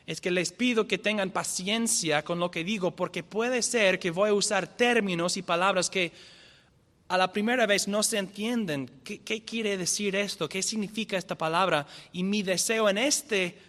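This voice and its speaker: A man's voice: high voice, a bit bright, long reverb tail. A man who is not a native speaker of Spanish, giving a church lecture carefully, often restarting and correcting himself. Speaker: guest teacher. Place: church hall.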